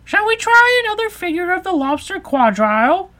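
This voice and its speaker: A man voicing a bossy, impatient, excitable Gryphon in a storybook reading. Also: very high-pitched, normal speed, voice pushed up, exaggerated voice. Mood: brusque. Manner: impatient, exclamatory. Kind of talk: storybook character acting.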